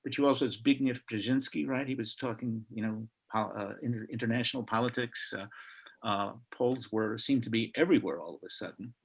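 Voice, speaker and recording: A man's voice: medium pitch, fairly quick, slightly rough. An older man from Chicago, speaking reflectively on a video call panel, telling stories with frequent restarts. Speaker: panelist author.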